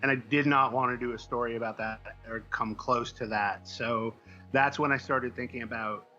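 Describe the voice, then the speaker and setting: A man's voice: medium pitch, fairly even intonation, normal speed, pushed and bright, highs cut off, faint music behind. An American man from the Midwest telling stories casually as an interview guest, in a hesitant, discursive way. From Midwestern United States. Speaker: comic book writer, interview guest. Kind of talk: casual conversational storytelling.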